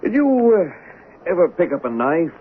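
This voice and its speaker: An actor in a 1970s radio drama playing a formal, measured, persistent police sergeant. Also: male voice, on the high side, big pitch swings. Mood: insistent, sceptical. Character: probing, persistent male police sergeant interrogating suspects. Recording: tinny narrow-band 1970s radio recording, noisy.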